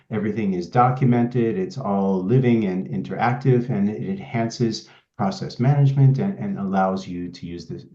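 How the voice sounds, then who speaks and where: A man's voice: lowish voice, dark tone. Middle-aged Swedish man presenting in a roomy space. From Sweden. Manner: measured, explanatory, with frequent restarts. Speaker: pharma quality consultant presenting a demo. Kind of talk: webinar presentation. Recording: roomy, slightly dull audio.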